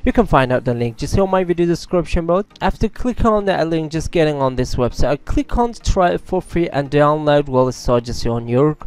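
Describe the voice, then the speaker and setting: A man's voice: on the high side, lively intonation, fairly quick, few pauses, darkish tone, clean tone. A man, narrating a casual tech tutorial as its host.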